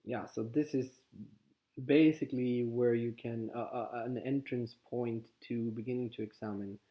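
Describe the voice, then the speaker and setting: A man with a Swedish accent, whose first language is Swedish, calmly guiding listeners through a contemplative teaching, often pausing and restarting his sentences. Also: medium pitch. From Sweden. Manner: calm, halting, with frequent restarts. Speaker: spiritual teacher. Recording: slightly dull lo-fi audio.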